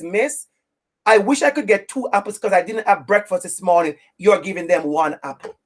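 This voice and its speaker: A woman from Jamaica with a Jamaican accent, presenting a webinar to teachers in an emphatic, preacherly way with deliberate repetition. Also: mid-range voice. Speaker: equity trainer for teachers.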